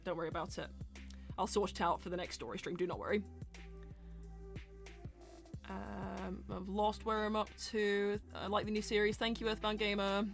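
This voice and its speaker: A woman's voice: medium pitch, level tone, normal speed, gravelly, long pauses, soft background music. A woman hosting a casual livestream Q&A, reading out and answering viewer messages in an informal, chatty way. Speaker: host of a fan series livestream Q&A.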